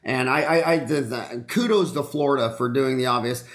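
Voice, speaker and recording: A man's voice: medium pitch, fairly quick, a bit bright. A middle-aged man hosting a podcast, chatting in a rambling, enthusiastic, joking way with many fillers. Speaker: podcast host, dentist. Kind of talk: casual interview chat.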